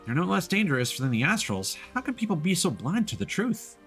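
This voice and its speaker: A man's voice: sits high, sing-song, fairly quick, a bit bright, voice pushed up, heavily acted. A man voicing chatty, dramatic townsfolk from a fantasy video game, reading their dialogue aloud with exaggerated delivery. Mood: indignant. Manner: theatrical, exaggerated. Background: video game music.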